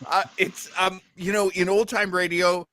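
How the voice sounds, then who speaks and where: A man hosting a listening party with casual, joking, animated commentary. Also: sits high, normal speed. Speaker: host of a live listening party.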